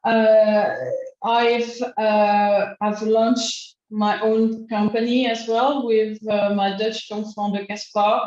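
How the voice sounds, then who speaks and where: A woman from France on a video call, speaking haltingly with frequent fillers. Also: a little high, flat delivery, darkish tone. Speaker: panelist, angel investor. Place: small room indoors. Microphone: laptop webcam on a video call. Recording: dull echoey webcam audio.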